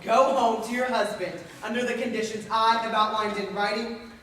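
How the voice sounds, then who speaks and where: A woman's voice: on the high side, fairly even intonation, normal speed, a bit bright, a little hiss or hum, lightly acted. A woman on a school stage playing a stern, controlling asylum doctor with a formal, authoritative manner. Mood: cold, threatening. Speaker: student stage actor. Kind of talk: high school stage play acting. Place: large indoor stage, far mic. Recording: echoey stage recorded from far off.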